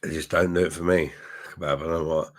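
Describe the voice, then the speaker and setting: Deep-voiced, hoarse man rambling. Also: level tone, fast talker. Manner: rambling, crude jokes, swearing. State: hoarse, unwell. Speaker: livestream host reading chat. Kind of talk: casual crude drinking chat.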